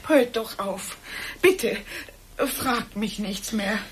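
An actress playing a desperate, cornered woman in a German radio drama, pleading in halting, agitated standard German. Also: a little high, expressive, slight background noise. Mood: distraught, halting. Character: desperate, cornered woman defending herself against murder suspicion.

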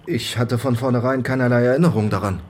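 Mid-pitched male actor as bewildered accident survivor, halting, uncertain radio drama dialogue, slight noise. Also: fairly even intonation, darkish tone, close to an everyday voice. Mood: confused.

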